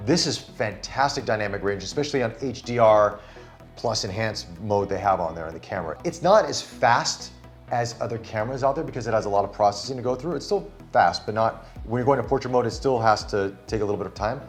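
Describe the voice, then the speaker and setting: A man's voice: lowish voice, animated, fast, soft background music. Enthusiastic male tech reviewer. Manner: enthusiastic, hands-on demonstrating. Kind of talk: casual product review chat. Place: Singapore studio.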